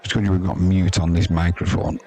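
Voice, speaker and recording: Deep-voiced man chatting through cricket. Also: flat delivery, a little fast, warm tone. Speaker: live cricket commentator. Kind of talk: casual ad-lib sports commentary and chat.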